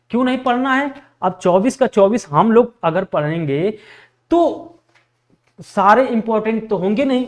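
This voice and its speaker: Male exam teacher, high-pitched, repetitive question-and-answer drilling in a lecture style. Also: a little mellow. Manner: repetitive, asks questions and answers them himself. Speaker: history teacher for exam preparation. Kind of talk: classroom lecture drilling facts for exams.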